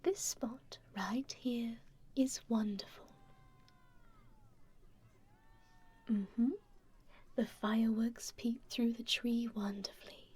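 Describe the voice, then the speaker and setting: A woman playing a kind, teasing stranger in an intimate ASMR roleplay, speaking gently and unhurriedly in a breathy, whispery voice. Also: on the high side, steady tone, slow. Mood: playfully teasing. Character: kind, teasing female stranger caring for a festival-goer.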